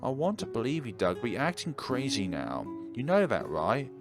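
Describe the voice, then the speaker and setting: Man reading as scolding teenage sister, animated pitch. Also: moderate pace. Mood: indignant scolding. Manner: emphatic, scolding. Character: teenage sister, suspicious, accusing, exasperated. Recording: music behind the voice.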